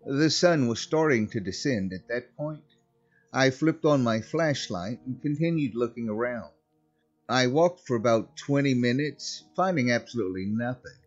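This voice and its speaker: A man's voice: mid-range voice, expressive, moderate pace, dull audio. A man narrating a scripted first-person horror story as a storyteller. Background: background music.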